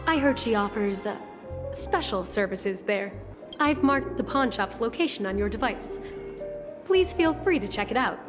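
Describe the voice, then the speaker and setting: A woman's voice: medium pitch, animated, moderate pace, lightly acted. Woman playing a deferential attendant, long reverb. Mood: helpful, polite. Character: helpful, deferential female attendant on a luxury ship. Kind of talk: video game voice acting. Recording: long hall reverb, background music.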